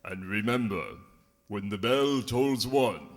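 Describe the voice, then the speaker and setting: A man playing the mournful ghost of a remorseful businessman in a radio play, speaking in a solemn, grandiloquent, theatrical way. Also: fairly low pitch. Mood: grave, warning. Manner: slow, solemn, grandiloquent delivery. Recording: long cavernous reverb with music behind.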